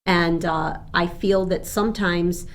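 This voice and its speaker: A woman's voice: on the low side, fairly even intonation, takes it slowly. A woman from Argentina who grew up speaking Spanish, explaining things as an interview guest in a chatty, earnest, conversational way. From born in Argentina. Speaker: insurance agent, interview guest.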